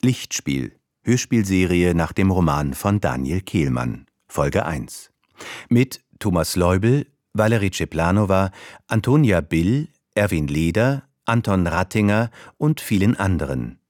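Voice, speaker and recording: A man's voice: low-pitched, animated, a little slow, a little mellow, no echo, clean studio sound. A man reading scripted credits as a radio announcer.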